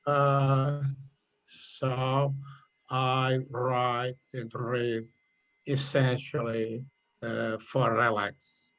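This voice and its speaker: An older man from Portugal with a Portuguese accent, speaking English haltingly on a video call about the essay he wrote. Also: medium pitch, level tone, fairly bright tone, muffled recording. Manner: halting, searching for words, apologetic. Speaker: contest winner, retiree.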